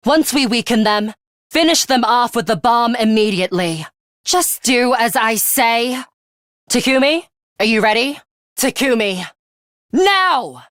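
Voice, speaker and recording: A woman voicing a coolly pragmatic, blunt young female fighter in a video game, speaking in a measured, serious, clipped way. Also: on the high side, no room sound, studio quality. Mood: commanding. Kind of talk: English video game voice acting.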